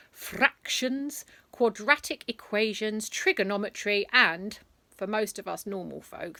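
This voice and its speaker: A woman reads a bedtime story aloud to children, her voice bright and crisp. She takes an unhurried pace and leans into the drama, with playful asides along the way. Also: mid-range voice, dry recording. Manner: lively, dramatic storytelling with big emphasis and asides.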